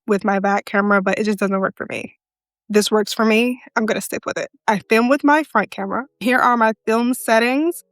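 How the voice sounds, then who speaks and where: Woman, soft voice, somewhat high, casual vlog chat, handheld mic, dry, faint background music. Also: no room sound. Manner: chatty, talks straight to the viewer. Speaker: content creator. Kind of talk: casual home setup tour vlog. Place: living room at home. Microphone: handheld wireless mic.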